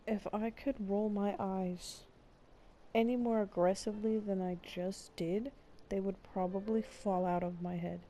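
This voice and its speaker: A woman's voice: mid-range voice, steady tone, unhurried, mellow, a little hiss or hum. A woman streamer giving sassy, sarcastic live reaction commentary over a video game. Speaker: gameplay streamer. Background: game music.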